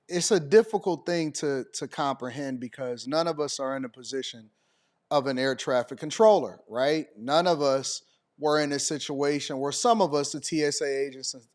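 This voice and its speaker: A man's voice: medium pitch, normal speed. An American man giving off-the-cuff news commentary in an emphatic way, often checking in with the listener. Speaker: commentator and show host.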